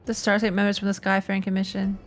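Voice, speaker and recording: A woman's voice: mid-range voice, monotone, fast, soft background music. A woman streamer giving informal live reactions to a game in short, casual asides. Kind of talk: casual live gameplay commentary.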